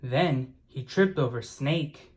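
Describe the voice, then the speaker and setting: A narrator reads a children's story aloud. The delivery is very slow and measured, with a middling pitch and an expressive storytelling style. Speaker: storybook reader.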